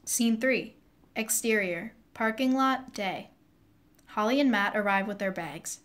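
A woman's voice: mid-range voice, measured pace, a bit bright. A woman giving scripted narration, reading out scene headings and stage directions.

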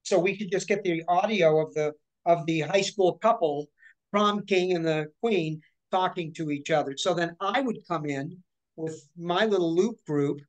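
A woman in her forties, a voice actor, telling animated stories with lots of restarts over a video call from her living room. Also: lowish voice, moderate pace, rough recording. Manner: chatty, animated, with many restarts and hand gestures. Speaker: guest voice actor. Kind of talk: casual interview storytelling.

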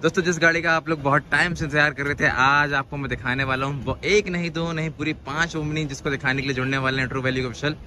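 A man's voice: a little high, fairly even intonation, fast, few pauses, noisy background. A young man hosting a casual vlog, walking a used car lot outdoors and chatting with questions and repeated "okay, okay". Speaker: car vlogger host.

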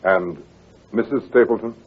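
An actor playing a curious, courteous gentleman client in a radio drama. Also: lowish voice, moderate pace, slightly rough. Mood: puzzled, curious. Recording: muffled, lo-fi old radio recording.

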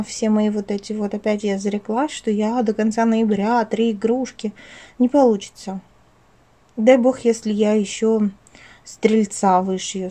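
A woman with a soft-edged, mellow voice hosts a homely craft vlog. She chats casually at a middle pitch, rambling and breaking off her own sentences with affection. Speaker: craft vlogger, channel host. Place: at home, indoors.

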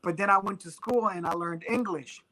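A man in his thirties, a native Spanish speaker, answers thoughtfully with a very bright, high voice. He speaks over a video call webcam from a small room at home. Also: normal speed. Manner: thoughtful, with frequent fillers and restarts. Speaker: guest artist. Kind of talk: casual interview answers.

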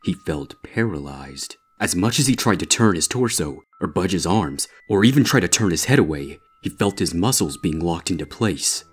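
A man's voice: low voice, animated, normal speed, hardly any pauses, husky. A man reading a scripted horror story aloud as its narrator.

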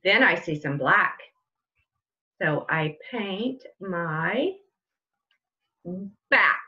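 Animated woman reading a picture book aloud. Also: mid-range voice, dull audio. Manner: drawn-out build-ups with loud emphatic punch words. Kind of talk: animated, sing-song read-aloud of a children's picture book.

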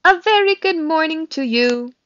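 A woman's voice: high voice, a little slow, a bit bright, no echo, dull audio, pitched above the performer's own voice. A woman voicing a friendly, literal-minded female robot who speaks in cheerful, formal, precise sentences.

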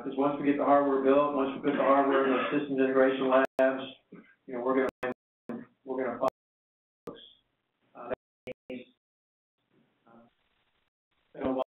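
Conference speaker, American man, halting and flat in pitch, heard through a thin phone-like band in a very echoey room. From East Coast of the United States. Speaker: keynote speaker, aerospace engineer. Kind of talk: conference presentation with slides. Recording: distant, echoey, narrow phone-like sound, faint noise.

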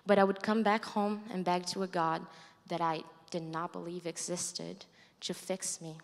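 A young woman from Brazil with a Brazilian Portuguese accent, reading her prepared testimony aloud in an earnest, measured way into a handheld mic on a stage. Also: on the low side, flat delivery, creaky. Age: young adult. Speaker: student giving a testimony. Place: large dark stage hall. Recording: long hall reverb.

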